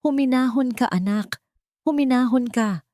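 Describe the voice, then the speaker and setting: A woman with a Filipino accent voicing an elderly housekeeper, gentle but firm and protective, in a dramatic audio story. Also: sits high, normal speed, a little hiss or hum, pitched above the performer's own voice, close to an everyday voice. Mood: worried, soothing. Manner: gentle, emphatic, respectful.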